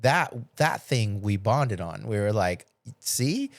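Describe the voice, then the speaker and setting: A man's voice: fairly low pitch, lively intonation, slow, creaky, no echo. Middle-aged man telling stories casually. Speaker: podcast host. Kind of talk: casual chat, storytelling.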